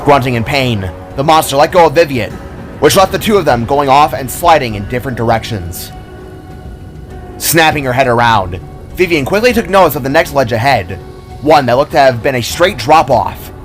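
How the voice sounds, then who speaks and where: A man's voice: mid-range voice, lively intonation, moderate pace. A man reading his own scripted action story as narrator, in a dramatic storytelling style. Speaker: author-narrator. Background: music.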